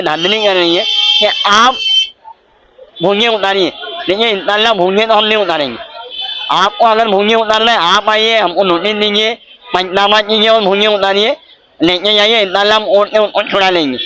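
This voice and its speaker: Bright, high-pitched Mumbai man. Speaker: advocate and local party office-bearer. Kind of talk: interview answers, political commentary. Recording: faint background noise on location.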